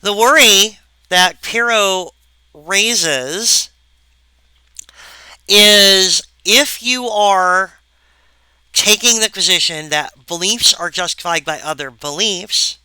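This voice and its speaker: A woman giving a recorded philosophy lecture, halting and full of wry asides to the viewer. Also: medium pitch, pushed and bright, clear, resonant tone, no echo, low-quality recording.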